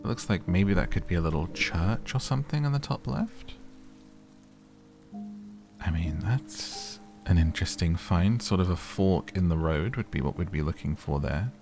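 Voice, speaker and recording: A man with a deep, low voice talks his way through a calm exploration game, easygoing and chatty, turning to his viewers now and then. Gentle game music plays clearly behind him. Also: moderate pace. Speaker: gaming YouTuber. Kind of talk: relaxed game playthrough commentary. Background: soft game music.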